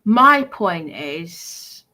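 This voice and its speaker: A woman's voice: fairly low pitch, very animated, drawn-out words, bright, forceful tone. A British woman streamer talking casually and unscripted, in a defensive, insistent manner. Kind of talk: casual unscripted livestream talk.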